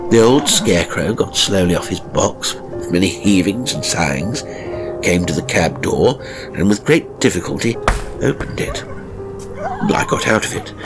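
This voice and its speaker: A man narrating a literary ghost story in the first person, with measured, dramatic phrasing and deliberate pauses. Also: on the low side, rough recording. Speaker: audiobook narrator. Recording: music behind the voice. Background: music.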